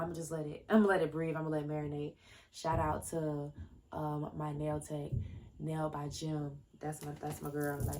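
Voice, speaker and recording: A woman's voice: low-pitched, noisy background. A woman in her early twenties hosting a casual solo podcast from home. She talks loosely and wanders between tangents, with lots of fillers and restarts. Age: 20s. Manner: rambling, jumps between tangents. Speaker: podcast host. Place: at home, indoors. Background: faint fireworks popping.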